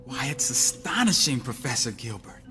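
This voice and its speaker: Awestruck, wildly swooping assistant. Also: male voice, mid-range voice, big pitch swings, moderate pace, pushed and bright. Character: awestruck, slow-witted, deferential male assistant. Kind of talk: period radio drama acting. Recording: hall reverb, faint music.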